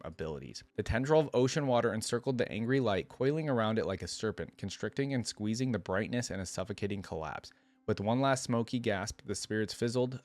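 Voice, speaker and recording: Low-voiced man reading and musing casually. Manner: casual, musing, hesitant asides. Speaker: reaction video host. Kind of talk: reading a story aloud with casual commentary.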